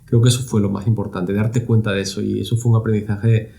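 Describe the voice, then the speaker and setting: A man's voice: on the low side, little pitch movement, fast talker, gravelly, warm tone. A middle-aged man from Spain, a guest answering interview questions in a reflective way, often repeating words as he searches for phrasing. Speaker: university lab director, guest.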